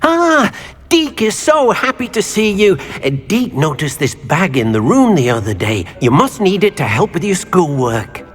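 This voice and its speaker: A man's voice: big pitch swings, unhurried. An actor voicing a small, timid male house-elf servant in a video game, who talks about himself by name in an eager, fussy way. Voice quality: husky, high squeaky. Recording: game audio with faint music.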